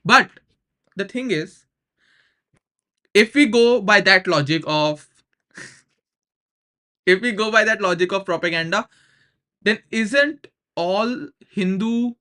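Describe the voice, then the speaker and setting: A man's voice: high-pitched, lively intonation, slow pace, pauses often, pushed and bright. A young man with an Indian accent giving casual, opinionated reaction commentary, slipping informally between two languages. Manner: opinionated, informal, switching between English and Hindi.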